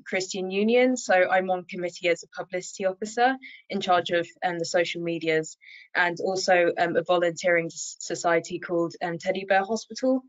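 A woman's voice: lowish voice, no room sound. A woman student talking casually about university societies, with frequent ums. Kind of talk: webinar talk to applicants. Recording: dry, muffled call audio.

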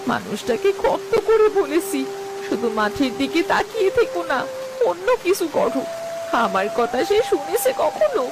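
A woman's voice: high-pitched, sing-song, moderate pace, noisy background. A woman voicing an anxious, fretful village farmer's wife in a cartoon folk tale, with a wailing delivery. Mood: worried, reproachful.

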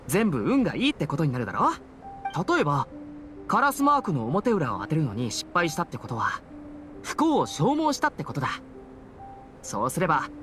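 A boyish teenage adventurer, earnest and hopeful despite his bad luck. His speech is casual and lively in pitch, in anime game style with music behind it. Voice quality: youthful, boyish. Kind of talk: Japanese anime game voice acting.

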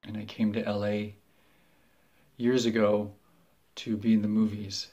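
A man in his thirties from northern Minnesota, telling his story for a documentary in a halting, self-deprecating way, recorded on a webcam in a small room at home. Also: lowish voice, flat delivery, warm tone, lo-fi sound. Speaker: aspiring actor interviewee. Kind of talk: candid documentary testimony.

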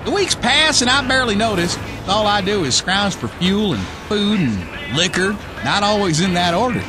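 Folksy american redneck survivor in a radio play, wisecracking, high voice, music under it. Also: male voice, sing-song, moderate pace. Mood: folksy, wry. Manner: folksy, slangy drawling wisecracks. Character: whiskey-drinking redneck survivor, cocky and folksy. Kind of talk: radio play monologue narration.

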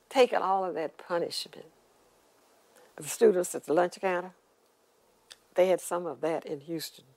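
Low, gravelly voice recalling the past. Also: female voice, darkish tone, no room sound, poor audio. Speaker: interviewee recalling the civil rights era. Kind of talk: documentary interview.

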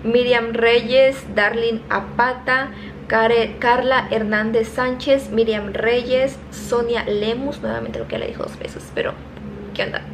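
A young woman with a Mexican accent, chatting casually as she reads out shout-outs to her viewers, filmed on a handheld phone in her kitchen. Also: fairly high pitch, steady tone, background noise. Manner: chatty, reading names quickly, laughing at herself. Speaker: vlogger. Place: home kitchen, indoors. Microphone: phone held at arm's length.

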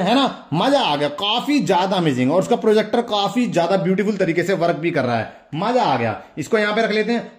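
A man's voice: high-pitched, a little mellow. A young man with an Indian accent hosting a casual hands-on review, talking enthusiastically and repetitively straight to viewers. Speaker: toy review video host. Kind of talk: casual hands-on product demonstration.

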